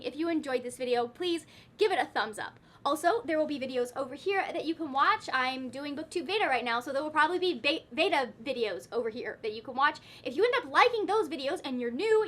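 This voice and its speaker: A woman with a high, bright voice talks casually to her viewers as a book vlogger. She is chatty and wry, breaking into her own sentences with asides to herself.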